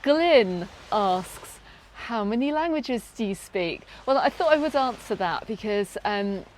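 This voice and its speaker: British woman, travel vlog host, upbeat and chatty, ums and restarts, mid pitch, outdoors with faint ambience. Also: lively intonation, a little slow, a little hiss or hum, low-quality recording. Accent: British English. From Britain. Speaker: travel vlogger. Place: outdoors on a sunny beach, in a lifeguard tower.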